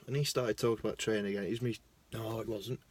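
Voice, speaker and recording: A young man with a Lancashire accent, rambling casually into his phone in a parked car, self-mocking, jumping between loud bursts and mumbled asides. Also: lowish voice, steady tone, fast talker, dry recording. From Lancashire, England. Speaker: vlogger.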